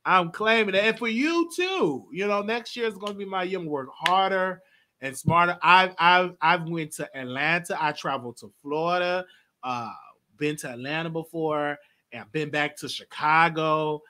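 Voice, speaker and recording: Host, late 20s male, bright and lively, chatty and quick to laugh. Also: fairly high pitch. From Texas. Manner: chatty, animated, laughs often. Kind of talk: casual live call-in show.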